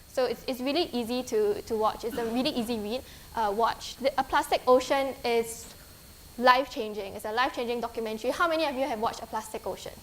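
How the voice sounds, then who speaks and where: A woman in her twenties with a Singaporean English accent speaks to students in a lecture hall. Her voice sits a little high, and she talks in a friendly, earnest way, stopping often for ums and restarts. A faint background hum runs under her speech. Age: 20s. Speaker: guest speaker. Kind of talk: conference talk with slides.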